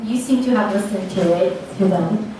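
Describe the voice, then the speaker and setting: A woman lecturer answering audience questions in a tentative, halting, thinking-aloud way. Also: medium pitch, mellow. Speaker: lecturer presenting research on radio dramas. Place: echoey indoor lecture room. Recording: echoey room, distant mic, faint noise.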